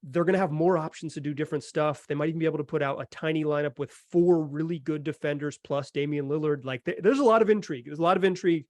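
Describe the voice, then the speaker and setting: A man's voice: on the high side, fast, few pauses, a little mellow, no room sound. A man hosting a sports podcast, talking informally and repeating phrases for emphasis, close on the microphone. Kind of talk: casual sports talk and analysis.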